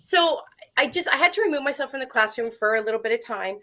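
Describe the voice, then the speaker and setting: A French-native woman talks as an interview guest, lively and eager, with plenty of fillers. Her voice is bright and sits a little high. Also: fast talker. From Canada. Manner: animated, talkative, with fillers and laughs. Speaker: guest, first aid instructor. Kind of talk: casual interview talk.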